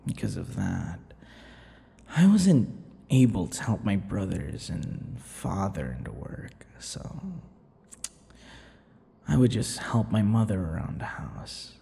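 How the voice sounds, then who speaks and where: A man voicing a possessive, centuries-old vampire in an intimate ASMR boyfriend roleplay, teasing and breathy, often dropping toward whispers. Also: sits low, huge pitch swings, slow, pauses often, dark tone, exaggerated voice. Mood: bitter, confiding. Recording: long reverberant echo.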